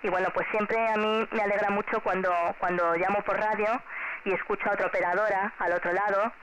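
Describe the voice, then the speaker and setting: A woman's voice: medium pitch, fairly even intonation, brisk, fairly bright tone. Spanish woman on a noisy ham radio. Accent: Spanish from Spain. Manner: friendly, encouraging, repeats herself. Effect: two-way shortwave radio transmission. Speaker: amateur radio operator. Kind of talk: casual radio contact chat addressed to a child. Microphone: heard through a radio transceiver speaker. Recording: noisy, muffled amateur radio signal.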